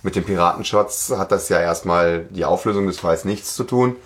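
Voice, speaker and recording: A man's voice: deep voice, normal speed. Casual, opinionated man podcasting. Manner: opinionated, mimics quoted lines. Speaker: podcast host. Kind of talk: casual fan discussion of an audio drama.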